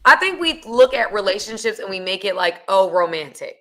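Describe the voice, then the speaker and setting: Raspy lady host chatting to her audience, bright edge to her voice. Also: medium pitch, big pitch swings, fairly quick. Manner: casual, addresses listeners warmly. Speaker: podcast host. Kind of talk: podcast chat.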